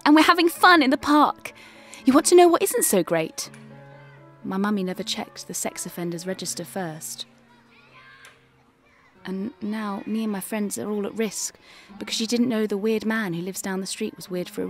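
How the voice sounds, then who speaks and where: A woman's voice: mid-range voice, moderate pace, soft background music, lightly acted. A woman voicing a chirpy seven-year-old child reading a radio advert in a cheery sing-song way. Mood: cheerful.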